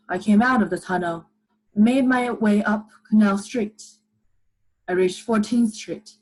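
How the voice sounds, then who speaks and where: Radio drama narrator: solemn scientist survivor, young actress, husky, measured, grave. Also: female voice, medium pitch, poor audio. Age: young adult. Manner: deliberate phrasing, literary and grave. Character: solemn scientist survivor recounting a devastated world. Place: living room at home, video call.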